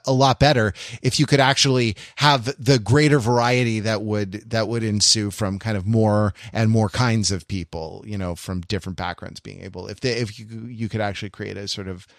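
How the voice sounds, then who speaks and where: A man's voice: fairly low pitch, normal speed, dry recording, studio quality. A man co-hosting a casual podcast discussion, rambling and often restarting his phrases mid-thought. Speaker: podcast co-host.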